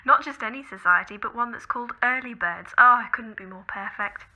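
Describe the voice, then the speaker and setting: A woman's voice: a little high, a little fast, bright, forceful tone, full character voice, rough recording. An actress playing a teasing, knowing woman in an audio drama, playfully pressing her point. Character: teasing, knowing woman, needling a close friend.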